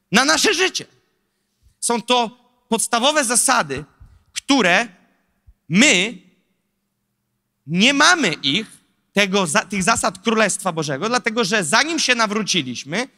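A man in his thirties preaches with a handheld mic, animated and rhetorical, tossing lively asides to the congregation. His voice sits high for a man and comes across sharp and bright. It rings out with the long reverb of a large hall. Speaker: pastor. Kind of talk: sermon. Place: large church stage in front of an audience.

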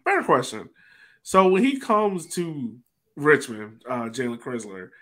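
A man with a middle-pitched voice hosts a relaxed, chatty sports podcast. He speaks casually over a webcam call from a small room at home. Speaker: podcast host. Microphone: webcam video call.

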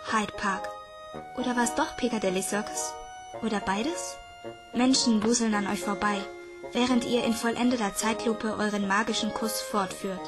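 Woman reading an audiobook, dreamy and deliberate, kinda high voice, music underneath. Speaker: narrator. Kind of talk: scripted audiobook narration. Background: background music.

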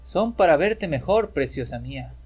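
A man's voice: high-pitched, expressive, moderate pace, pitched above the performer's own voice. A man playing a sly sweet-talking wolf. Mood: falsely sweet. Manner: smooth and wheedling.

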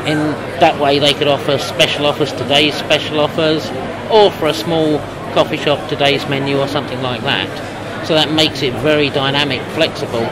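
Man in his fifties over loud crowd noise. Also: fairly high pitch. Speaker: company representative being interviewed. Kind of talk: explanatory trade-show interview. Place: busy exhibition hall booth. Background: loud crowd hubbub.

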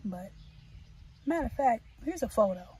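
An American woman in her thirties chatting casually and reflectively in an earnest, halting way, as a vlogger in a car. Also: fairly high pitch, expressive, a little mellow. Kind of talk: casual, reflective chit chat. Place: inside a parked car. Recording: distant mic, clear background noise.

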